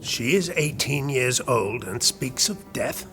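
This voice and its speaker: A man's voice: mid-range voice, lively intonation, slow pace, music in the background. A man playing a scheming, disdainful medieval royal chamberlain in an audio drama, with formal, condescending speech. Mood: dismissive. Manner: smooth-worded, condescending, formal. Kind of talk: historical audio drama acting.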